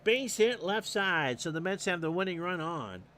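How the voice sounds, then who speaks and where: A man doing solo play-by-play commentary on a replayed baseball game. He reads off stats with dry asides and gets louder on the big plays. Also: high-pitched, moderate pace, a little hiss or hum. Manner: stat-heavy, dry asides, rising excitement on big plays. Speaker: play-by-play announcer.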